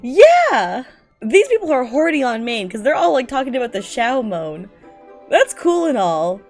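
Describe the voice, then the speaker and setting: Young female streamer, non-native English speaker, very lively pitch swings, slangy exasperated commentary over music. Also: a little slow. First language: not English. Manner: animated, exasperated, giggly, slangy, profane. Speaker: streamer reacting to fan fiction. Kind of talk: casual commentary while reading aloud.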